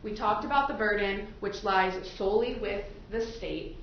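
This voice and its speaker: A woman's voice: medium pitch, normal speed. A woman working as a defense attorney, questioning prospective jurors in a courtroom in an informal, conversational, explanatory way. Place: courtroom, into a microphone. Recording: echoey courtroom audio, muffled, noisy.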